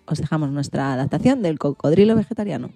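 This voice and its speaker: A woman's voice: low voice, expressive, fast talker, mellow, no echo, soft background music. A woman presenting a radio show and narrating a story for children.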